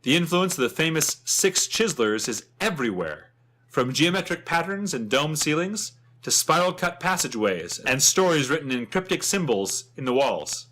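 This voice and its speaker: A man's voice: on the high side, sing-song, moderate pace, a bit bright. A man playing a chatty, wryly humorous travel writer, narrating a fantasy guidebook with theatrical expression. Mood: enthusiastic, descriptive.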